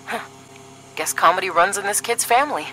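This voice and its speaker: A woman acts out a dry, sarcastic young female agent in an audio drama. Her voice is medium in pitch and clearly bright, serious and a little weary, with faint noise behind it. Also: animated, fairly quick, rough recording. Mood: sardonic. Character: sardonic, duty-minded young female paramilitary agent, weary sister. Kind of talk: scripted audio drama dialogue.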